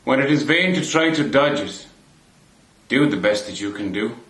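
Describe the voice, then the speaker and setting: A man giving a measured, deliberate poetry recitation in a roomy indoor space. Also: mid-range voice, expressive, a bit bright. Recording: roomy echo on the voice.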